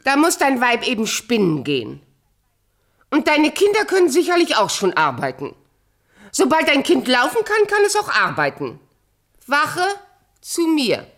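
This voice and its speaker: A woman's voice: sits high, huge pitch swings, a little slow, fairly bright tone, full character voice. An actress playing a vain, cruel fairy-tale queen in a radio play, with a haughty, commanding, theatrical delivery. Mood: stern, scornful. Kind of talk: German radio play acting.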